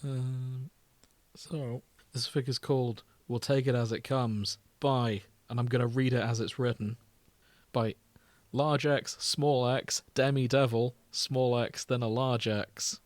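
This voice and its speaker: A man's voice: mid-range voice, fairly even intonation, slow pace, no room sound. A man co-hosting a podcast in casual chat, with a jokey manner. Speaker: podcast co-host.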